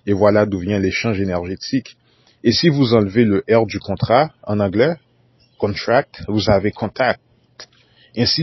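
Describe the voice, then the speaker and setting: A man with a low, husky voice gives a formal solo monologue, often repeating whole sentences and spelling words out letter by letter. The recording is dull and lo-fi, with a dry sound. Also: dry recording. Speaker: conspiracy talk narrator.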